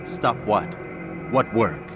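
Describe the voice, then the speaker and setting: Casting: blunt, questioning assassin for a video game; stern delivery, reverberant hall, music beneath. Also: male voice, on the low side, slow. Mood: threatening. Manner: flat, stern, terse. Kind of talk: video game cutscene acting. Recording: echoey hall, music underneath.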